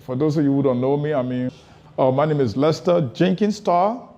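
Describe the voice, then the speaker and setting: A man's voice: fairly high pitch, moderate pace, dark tone, dull audio. A man from Liberia with a Liberian accent, telling his story casually as an interview guest, repeating and rephrasing for emphasis.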